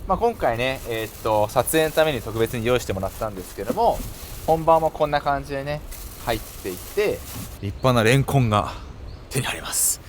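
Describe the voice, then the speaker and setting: A man's voice: medium pitch, expressive, brisk. A man presenting a casual vlog to camera, explaining things politely with frequent fillers. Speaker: cycling YouTuber, host. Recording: clear outdoor ambient and vehicle noise.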